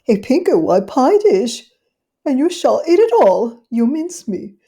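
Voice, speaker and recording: A woman's voice: high voice, sing-song, measured pace, warm tone, husky, voice pushed up, exaggerated voice. Woman doing a fretful little lady dog. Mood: scheming. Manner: anxious, fussy, talks to herself. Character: fretful, scheming genteel little female dog. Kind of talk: storybook character voice.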